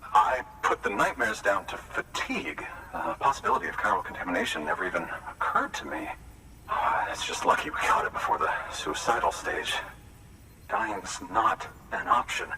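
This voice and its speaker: A man's voice: lowish voice, huge pitch swings, sharp tone, recorded from a distance, slight background noise, heavily acted, low-quality recording. An actor playing an anxious, overworked male geologist who talks in a nervous, rattled way. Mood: worried. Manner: nervous, rattled, fast-talking. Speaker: geologist character.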